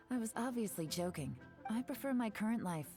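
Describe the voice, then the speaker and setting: A woman's voice: a little high, animated, moderate pace, over music. A woman playing a cool, teasing, thrill-seeking spymaster in a video game, poised and sly. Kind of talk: voiced video game dialogue.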